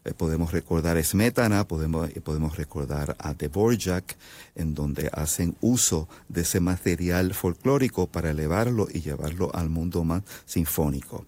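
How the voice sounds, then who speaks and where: A Puerto Rican man with a very low voice explains his music as an interview guest. He talks in long, halting stretches, full of fillers and restarts. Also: dark tone. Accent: Puerto Rican Spanish. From Puerto Rico. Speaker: composer guest. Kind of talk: interview answers.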